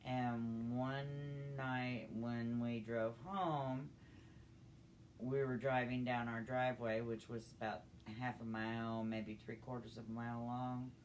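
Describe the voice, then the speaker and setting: A woman's voice: extremely low pitch, little pitch movement, measured pace, a little mellow. Older woman reminiscing, noticeable background noise. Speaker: storyteller grandmother. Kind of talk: personal reminiscence, conversational storytelling.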